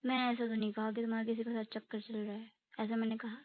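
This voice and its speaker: A woman's voice: on the high side, little pitch movement, fast talker, no room sound, natural voice. A young woman playing a defensive college girlfriend in a naturalistic phone-call roleplay, questioning, protesting and explaining herself. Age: young adult. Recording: tinny, narrow phone-call sound.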